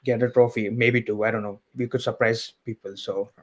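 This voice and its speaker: A man calling in to a talk show, talking in a measured way and thinking aloud. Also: medium pitch, level tone. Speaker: caller. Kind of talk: casual call-in chat.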